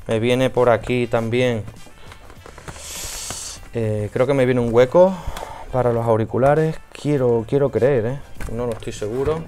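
A man in his thirties with an accent from Spain, talking casually and a bit hesitantly through a hands-on product review. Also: mid-range voice. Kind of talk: casual unboxing and installation walkthrough. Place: small home office room.

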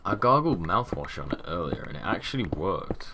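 A young man with a very deep, low voice and a sore throat, rambling casually over his gameplay. His pitch swings widely, rising when excited and dropping to mumbles. Keyboard clicks and steady noise sit behind his desk-mic recording. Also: moderate pace. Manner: rambling, chatty, drops to mumbles. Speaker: gaming channel host.

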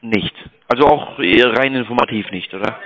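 A man's voice: mid-range voice, moderate pace, fairly bright tone, rough recording. A man making a polite sales call, deferential, apologetic and hesitant in the way he talks. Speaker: cold-calling sales agent.

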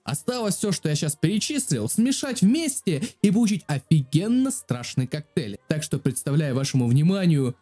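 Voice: medium pitch, sing-song, moderate pace, few pauses, mellow. A video creator narrating a casual joking tutorial in a crude, slangy, self-deprecating way. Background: music.

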